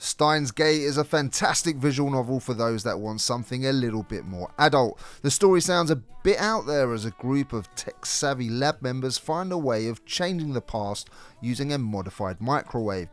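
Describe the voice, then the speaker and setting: A man's voice: mid-range voice, animated, moderate pace. A man reading a scripted video game review as a co-host. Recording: music behind the voice. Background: background music.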